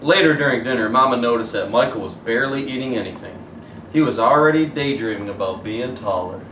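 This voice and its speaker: A man reads a children's picture book aloud in the animated manner of a teacher. He sounds away from the microphone in an echoey room, with faint noise around him. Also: medium pitch, brisk. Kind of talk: animated children's story read-aloud, pausing to show pictures. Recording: roomy echo, distant mic, faint noise.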